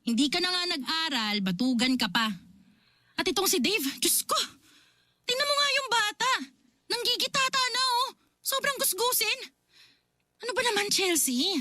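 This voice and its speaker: A woman's voice: high voice, pushed and bright, slight background noise, exaggerated voice. A woman acting an exasperated, responsible older sister and aunt in a Filipino radio drama, with an emphatic way of speaking. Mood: exasperated, scolding. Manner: scolding, emphatic, nagging.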